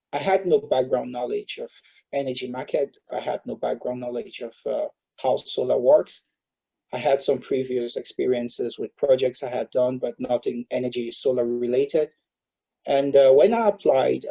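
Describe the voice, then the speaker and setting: A man's voice: medium pitch, gravelly, mellow, breathy edge, highs cut off. A man in his thirties from Cameroon, a guest in an online interview, speaking hesitantly with many filler sounds. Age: 30s. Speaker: guest, solar industry expert.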